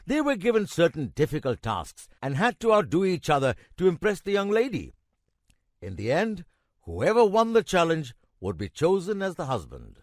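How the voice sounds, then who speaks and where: A man playing a kindly old grandfather in a children's cartoon, narrating a legend in a measured, expressive way with emphatic pauses. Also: a little high, no room sound, clean studio sound. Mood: patient storytelling.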